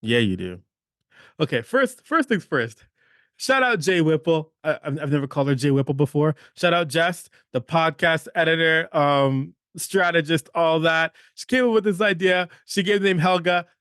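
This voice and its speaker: A man's voice: on the high side, expressive, moderate pace, fairly bright tone, clean tone, no room sound, clean studio sound. A man hosting a motivational podcast, talking emphatically, repeating phrases and checking in with "okay?". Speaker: podcast host.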